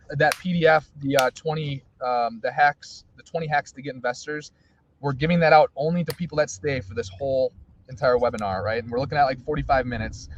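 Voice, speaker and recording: Guy hosting a webinar from a car, rambly, lots of ums and restarts, kinda dull lo-fi audio with some faint hiss. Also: medium pitch. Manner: rambling, many fillers and restarts. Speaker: webinar host. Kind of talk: casual webinar talk. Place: in a vehicle. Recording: dull lo-fi sound with faint noise.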